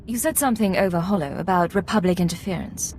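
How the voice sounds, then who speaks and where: A woman's voice: lowish voice, quick pace, darkish tone, a little hiss or hum, lightly acted. A woman playing a cool, confident Imperial agent in a video game, terse and self-assured. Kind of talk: dramatic video game acting.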